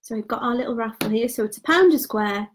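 A woman, chatting casually on a live stream about gift sets, her voice going hoarse. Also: fairly high pitch, fast, slight background noise. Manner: chatty and informal, trails off quietly. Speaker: beauty product consultant.

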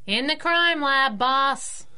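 A woman's voice: high voice, animated, takes it slowly, bright, forceful tone, heavily acted. A woman playing a plucky, wisecracking young sidekick in a 1930s-style radio drama, sassy and slangy. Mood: perky.

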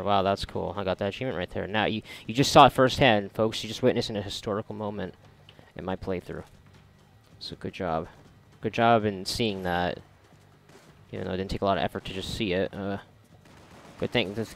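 Casting: male gamer, lowish gravelly fry, sarcastic and sweary off-the-cuff playthrough commentary. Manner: sarcastic, profane, muttering to himself. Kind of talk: casual gameplay commentary.

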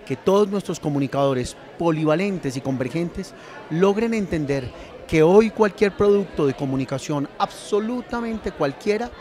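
A male dean explains his point with emphasis, and his voice sits a little high. He is interviewed at an event, and a faint crowd murmurs behind him. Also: lively intonation, normal speed, darkish tone.

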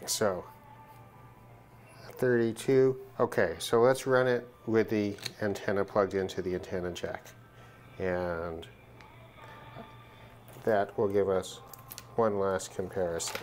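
Guy with a lowish voice doing a casual hands-on demo, kinda thinking out loud, some noise behind him. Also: steady tone. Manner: measured, thinking aloud. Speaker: hobbyist demonstrating a shortwave radio test. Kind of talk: informal hands-on gear demonstration. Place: indoors at a work desk.

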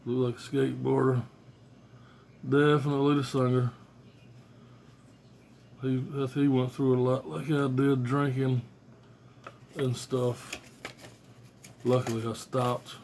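Blunt, low-pitched American man, noisy recording. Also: little pitch movement, takes it slowly, gravelly, pauses often, a little mellow. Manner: blunt, profane, slangy. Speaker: music fan reviewing albums on video. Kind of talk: casual unscripted vlog chat. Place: small room at home. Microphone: phone or tablet at arm's length. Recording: noisy phone recording with clicks.

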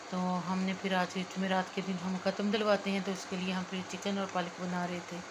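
A woman's voice: mid-range voice, noisy background. A woman, chatting viewers through cooking a dish in her home kitchen, recorded on a phone held over the pot. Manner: chatty, talks viewers through each step. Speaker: cooking channel host. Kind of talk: casual cooking vlog narration. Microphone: phone camera held over the pot.

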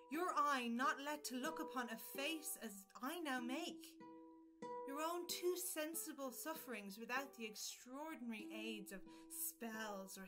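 A woman playing a bold, eloquent gallant in an early modern audio drama, wooing in measured, theatrical, courtly speech. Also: high-pitched.

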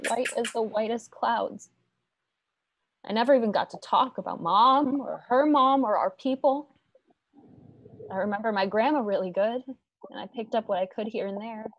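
A woman's voice: on the high side, dry recording, rough recording. A woman playing a troubled, bitter frontier wife in a radio drama, weary and intense.

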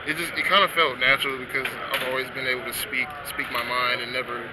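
Soft-spoken young man at a noisy event. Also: medium pitch, level tone. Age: 20s. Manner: soft-spoken, halting, many restarts. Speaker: football prospect. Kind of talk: press interview answers. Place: busy indoor event hall. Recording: loud background noise at an event.

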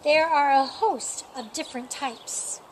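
A middle-aged woman with a high voice reads a rhyming picture book aloud for storytime. She takes her time, shaping each phrase deliberately and expressively. She is outdoors, with clear birdsong and ambient noise around her. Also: poor audio. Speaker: storytime reader. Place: outdoors in a sunny yard by a painted wall.

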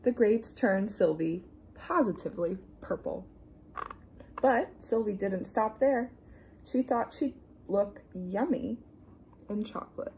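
A young adult woman reading a picture book aloud to young children, expressive and deliberate, with playful asides. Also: medium pitch, a little hiss or hum, low-quality recording. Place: small room indoors.

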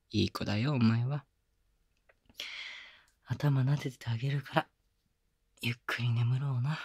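A man playing a gentle, doting young boyfriend in an ASMR bedtime audio drama, speaking softly and breathily, soothing and coaxing, close to the mic. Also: mid-range voice, slow pace, pauses often, husky, dry recording. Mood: tender, teasing. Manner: soft, soothing, tender, coaxing, often dropping to a whisper. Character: gentle doting young boyfriend lulling his sleepy partner. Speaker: voice actor. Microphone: close binaural microphone.

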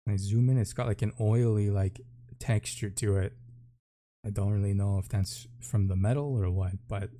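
A man's voice: fairly low pitch, fairly even intonation, moderate pace, warm tone. A man giving casual, unscripted game commentary, thinking aloud in short off-the-cuff remarks. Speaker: gaming video host.

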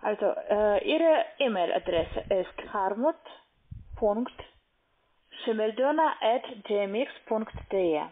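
A non-native speaking woman with a foreign accent, working as a call-center investment agent and talking haltingly as she searches for words. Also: mid-range voice, expressive. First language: not German. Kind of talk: phone sales conversation. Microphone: telephone. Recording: tinny narrow phone line.

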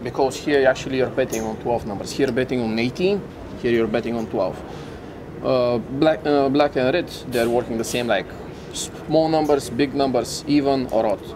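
A man in his thirties, a casino host, patiently explaining a table game in halting, non-native phrasing. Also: mid-range voice, noisy background. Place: casino floor on a cruise ship.

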